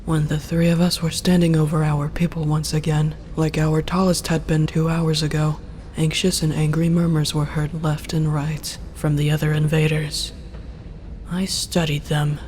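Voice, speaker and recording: A narrator reading a first-person story aloud in a measured, hushed, breathy delivery. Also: medium pitch, a little hiss or hum. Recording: music under the voice.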